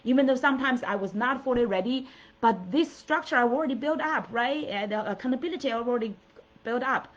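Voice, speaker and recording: A woman's voice: a little high, fairly quick. A middle-aged woman from China, a native Mandarin speaker, giving an earnest, encouraging motivational talk as coach and host, with many fillers and restarts. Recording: dull lo-fi audio.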